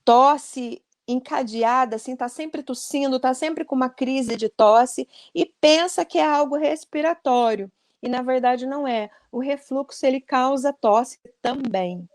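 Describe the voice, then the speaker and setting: A woman with a high voice talks through voice care in an earnest, explanatory way. She speaks over a video call, and the sound is very dry. She often ends sentences with "né?" as she goes. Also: unhurried, few pauses, darkish tone, dry recording. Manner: earnest and explanatory, many "né?" tags. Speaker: speech therapist guest.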